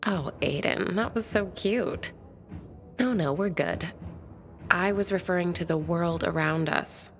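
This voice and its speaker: An actress playing a bookish, flirtatious woman survivor in a video game, speaking in articulate, measured phrases. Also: fairly low pitch, vocal fry, faint music behind. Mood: thoughtful, curious. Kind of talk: video game cutscene acting.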